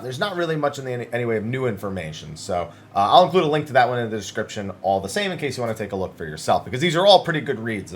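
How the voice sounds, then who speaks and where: A man giving casual, conversational news commentary as a video host, talking through summaries with emphatic, wordy asides. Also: medium pitch.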